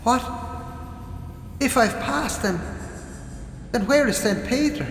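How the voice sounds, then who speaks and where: An actor playing an old, drunken, boastful Irish farmer in a radio play, with a grizzled voice and theatrical manner. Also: male voice, very high-pitched, expressive, takes it slowly, creaky, a little hiss or hum, full character voice. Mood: desperate.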